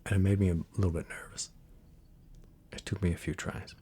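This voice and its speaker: A man speaking softly in an ASMR style, breathy and gentle, at times close to a whisper. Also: sits low, little pitch movement, fairly quick. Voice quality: soft, breathy, husky, sometimes near a whisper. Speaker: ASMR video creator and reader. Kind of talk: soft-spoken ASMR talk and poem reading.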